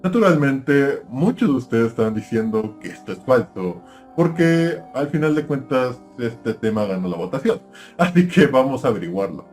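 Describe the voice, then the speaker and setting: A man's voice: medium pitch, very animated, normal speed, darkish tone, close to an everyday voice. Man playing a chatty male cartoon-geek YouTuber, music behind. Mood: analytical. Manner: presenter-like, addressing viewers directly. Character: nostalgia-obsessed male cartoon-review YouTuber, chatty mystery presenter. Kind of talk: scripted YouTube video commentary.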